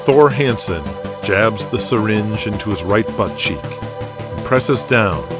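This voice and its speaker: A man's voice: fairly low pitch, expressive, takes it slowly, over music. A man narrating an audio drama in a dramatic, scripted storytelling style.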